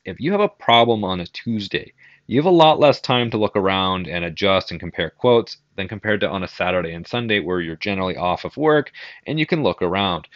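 A man's voice: fairly low pitch, a bit bright, dry recording, lo-fi sound. A young man giving a casual, conversational screen-share tutorial as a marketing instructor. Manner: informal, conversational, explanatory.

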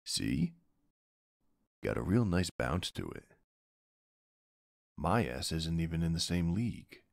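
A man's voice: low-pitched, very animated, slow, creaky, slight background noise, heavily acted. A man playing a flirty, laid-back gym bro in an ASMR roleplay, drawling and teasing in an easygoing way.

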